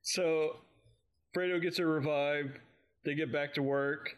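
Man, fairly high pitch, casual fan chat, podcast co-host, amused delivery. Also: fairly even intonation, takes it slowly, clean tone. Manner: amused, chuckles often while recapping.

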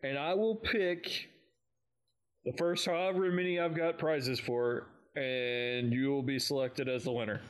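A man's voice: mid-range voice, smooth, low-quality recording. A man co-hosting a fan podcast, chatting in a slangy, informal way. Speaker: podcast co-host.

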